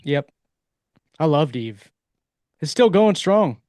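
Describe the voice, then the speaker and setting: A male podcast co-host chatting casually, haltingly searching for his words. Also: a little high, very animated, darkish tone, no echo, studio quality. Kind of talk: casual chat.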